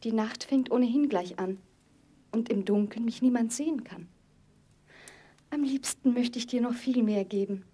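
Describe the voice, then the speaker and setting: A kind little orphan girl from a storybook audio play. Her voice is mellow and breathy, a bit high, with gentle, earnest, selfless warmth. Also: normal speed, pauses often. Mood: tender compassion. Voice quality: soft, breathy. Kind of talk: fairy-tale audio play acting.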